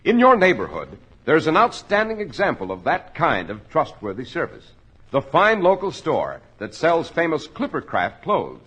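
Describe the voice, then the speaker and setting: A man's voice: on the high side, a bit bright, husky. A man as announcer reading a 1940s radio commercial in an emphatic, salesmanlike way. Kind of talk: 1940s radio advertising read.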